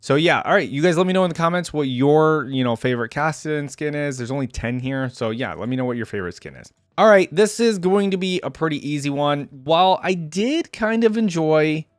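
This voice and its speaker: A man's voice: on the high side, expressive, a little fast, hardly any pauses, dry recording, clean studio sound. A man hosting a reaction video, commenting casually and off the cuff in a conversational way, thinking aloud as he goes.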